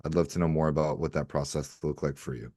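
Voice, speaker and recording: Male podcast host with a very deep voice and dry, close sound; upbeat and encouraging. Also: fairly even intonation, fast, darkish tone, no echo. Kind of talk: podcast hosting.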